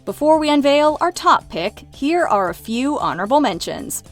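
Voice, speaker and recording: A woman's voice: high-pitched, big pitch swings, normal speed. A woman delivering scripted narration as the narrator of a countdown video. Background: light background music.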